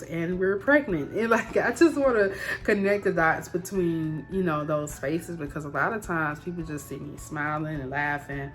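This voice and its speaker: Female vlogger, thirties, low register, candid and conversational with emphatic repeats, background music. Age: 30s. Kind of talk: casual personal vlog to camera.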